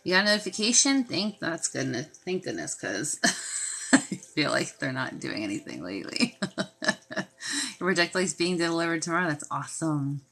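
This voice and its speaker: A woman's voice: deep voice, sing-song, moderate pace. A woman hosting a healing live stream from her phone, sniffly from a sinus infection, chatting casually and greeting viewers by name. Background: desk fountain and quiet music.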